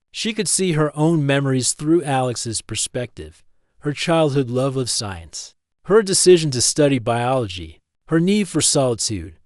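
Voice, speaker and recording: A man narrates fiction in a scripted audiobook style. His voice sits at a medium pitch. The sound is dry, with no room around it. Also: dry recording. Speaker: story narrator.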